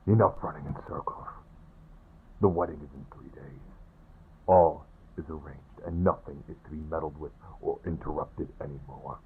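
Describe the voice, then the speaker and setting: Man acting very deep gravelly old tyrant king. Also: heavily acted. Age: older. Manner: commanding, unyielding. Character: stern, bitter old warmongering king and father. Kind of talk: fantasy audio drama acting. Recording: muffled narrow-band sound.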